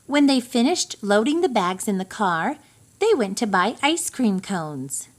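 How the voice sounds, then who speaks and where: Slow, expressive woman reading a storybook. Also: a little high. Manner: expressive, deliberate storytelling for young children. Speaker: storybook reader. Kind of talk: children's picture book read-aloud.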